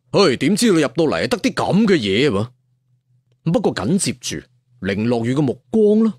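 A man's voice: mid-range voice, darkish tone, dry recording, studio quality. A man narrating a fantasy tale in a colloquial, dramatic storyteller style with chatty asides. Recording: music behind the voice. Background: background music.

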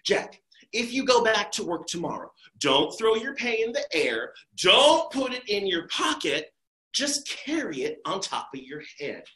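Exasperated folksy country mother, lively and scolding, done by a storyteller. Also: mid-range voice, measured pace, reverberant.

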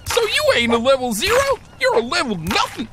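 Haughty cartoon taunter: very high voice, wildly swooping, theatrical drawl, mocking. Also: female voice, wildly swooping pitch, brisk, very noisy. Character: haughty, mocking cartoon character in feigned distress. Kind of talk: cartoon voice acting. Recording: loud music under the voice.

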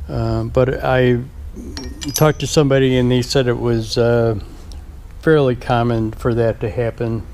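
Slow, rambling man in a workshop. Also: medium pitch, a little mellow, a little hiss or hum. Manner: slow, rambling, many fillers and restarts. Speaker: shop owner and builder. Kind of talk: casual shop walkthrough. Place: large workshop garage, indoors. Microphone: action camera.

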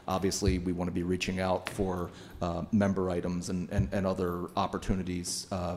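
A middle-aged man presenting a library project at a town board meeting, speaking into a podium microphone in a meeting room, measured and explanatory with frequent fillers. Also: low voice, a little mellow, slight background noise.

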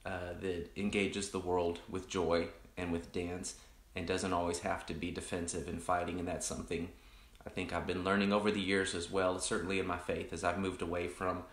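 A man, a pastor casually chatting with online viewers in a rambling, reflective way, his voice deepened by allergies. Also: level tone, normal speed, hardly any pauses, fairly bright tone, echoey room. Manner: rambling, many restarts and fillers, greets viewers by name. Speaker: pastor hosting an online coffee chat.

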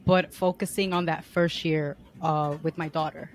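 A woman in her forties from New York, a podcast guest talking in a relaxed, unhurried way from home into a stand mic on a video call. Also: deep voice. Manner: relaxed, unhurried, laughs easily. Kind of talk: casual interview conversation. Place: home room. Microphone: studio mic on a stand, headphones, video call.

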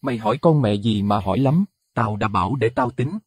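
A man's voice: mid-range voice, big pitch swings, fairly quick, gravelly, darkish tone, breathy edge, dry recording, distant mic, full character voice. A man voicing a coarse, foul-mouthed middle-aged village husband who curses his wife with contempt, in a dry, close recording. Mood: furious.

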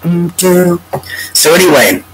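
A man's voice: high voice, big pitch swings, very noisy. Stuffy-nosed man rambling to his webcam. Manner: meandering, drawn-out, breaks into humming a tune. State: congested, stuffy nose. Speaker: vlogger. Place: home room with bookshelves, indoors. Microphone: webcam at desk.

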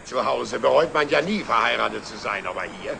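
An actor playing a jovial middle-aged trucker spinning yarns in a 1960s TV drama. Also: male voice, medium pitch, animated, fairly quick, gravelly. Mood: jovial, generous. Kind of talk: 1960s TV drama acting, anecdote telling. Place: outdoor truck stop café. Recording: noisy old 1960s TV soundtrack.

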